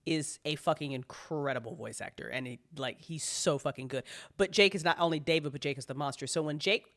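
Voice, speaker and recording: A woman telling stories in a casual chat, emphatic and slangy, swearing freely. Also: sits low. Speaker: audio drama creator on a panel.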